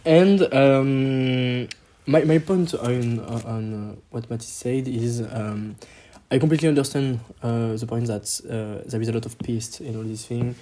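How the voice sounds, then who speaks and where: A man's voice: medium pitch, fairly even intonation, a little slow, dark tone. A twenty-year-old man with a French accent chatting casually and hesitantly as a podcast guest, sometimes slipping into French. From Paris, France. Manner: hesitant, slips into French mid-sentence. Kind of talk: casual chat.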